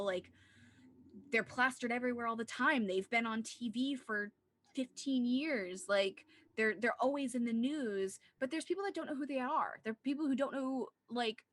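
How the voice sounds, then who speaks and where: A woman's voice: a little high, normal speed, a bit bright, dry recording. A woman co-hosting a casual movie discussion, talking in an earnest, rambling way with plenty of "like". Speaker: podcast co-host.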